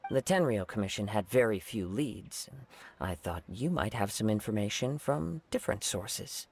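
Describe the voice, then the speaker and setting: An actor playing a calm, courteous young wandering samurai in video game dialogue, speaking politely and formally. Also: male voice, fairly low pitch, moderate pace, a little hiss or hum.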